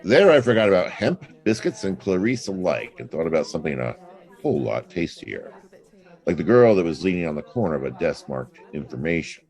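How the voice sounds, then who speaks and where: An actor playing a wisecracking, flirtatious private detective in a hard-boiled audio drama, wry in both narration and dialogue. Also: male voice, sits low, sing-song, fairly quick, a little mellow. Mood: wry, narrating. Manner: wry, drawling asides with flirtatious endearments.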